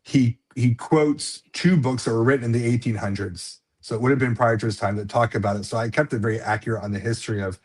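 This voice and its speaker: A man's voice: medium pitch, fairly even intonation, quick pace, hardly any pauses. A man who writes books, chatting casually as a guest on a live stream, rambling with many fillers and restarts and a slight lisp. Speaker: author guest.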